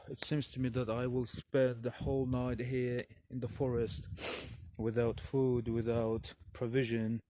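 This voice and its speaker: A young man whose first language is Russian talks to viewers in slow, halting English, sighing and searching for words, alone in a forest at night. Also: mid-range voice, little pitch movement, dark tone, slight background noise. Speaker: vlogger.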